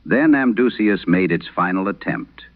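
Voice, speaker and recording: Man playing a very deep, grave old priest. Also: animated, phone-quality sound. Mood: solemn, haunted. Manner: grave, measured, dramatic pauses. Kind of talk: solemn first-person horror radio storytelling. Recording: band-limited vintage radio sound.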